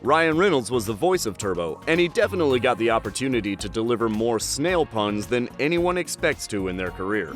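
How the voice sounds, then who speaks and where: A man's voice: medium pitch, very animated, fairly quick, few pauses, faint music behind. A man reading a scripted pop-culture countdown as a video narrator.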